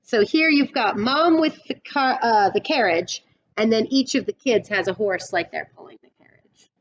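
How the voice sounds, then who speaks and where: A middle-aged woman teacher reading a storybook aloud with explanatory asides, expressive and deliberate, slightly dull webcam sound. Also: on the high side. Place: indoors, classroom-like room.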